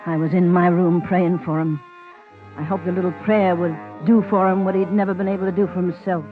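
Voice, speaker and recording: A woman's voice: lowish voice, flat delivery, a little fast, phone-quality sound, over music, lightly acted. An actress playing an anguished, embittered woman with wounded, accusing delivery in a 1950s radio crime drama, on a thin old recording. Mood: sorrowful.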